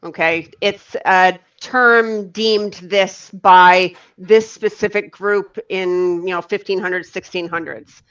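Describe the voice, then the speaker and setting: A woman with a North American accent, explaining things to a learner in casual conversation, in measured phrases. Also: fairly low pitch, steady tone, fairly bright tone, clean tone. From Canada and the northeastern US. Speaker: conversation partner. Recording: dull lo-fi online call audio.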